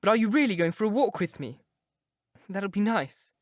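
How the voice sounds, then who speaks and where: A man's voice: high-pitched, lively intonation, moderate pace, no echo, clean studio sound, voice pushed up. A man voicing an eager, lovestruck young woman in a read-aloud story. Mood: playful.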